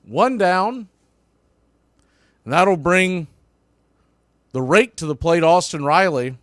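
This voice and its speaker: A male baseball announcer with a somewhat high voice calls the game live in short, clipped phrases. He is openly partisan and folksy, leaning on catchphrases. The sound is dry and close. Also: expressive, slow pace, fairly bright tone, dry recording. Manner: folksy, partisan home-team enthusiasm. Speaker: baseball play-by-play announcer for a fan stream. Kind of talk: live sports play-by-play commentary.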